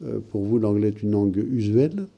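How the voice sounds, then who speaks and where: A man hosting, asking calm probing questions and interpreting a caller's dream. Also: fairly low pitch, fairly quick, creaky, lo-fi sound. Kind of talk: counselling talk.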